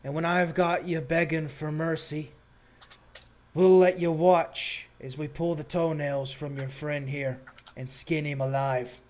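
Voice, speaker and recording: Menacing town enforcer, acted by a male game master: cruel, scornful, deliberate and slow, slightly high male voice. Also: steady tone, a little mellow, lightly acted. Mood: scornful, threatening. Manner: slow, taunting, threatening delivery. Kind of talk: tabletop roleplay villain dialogue.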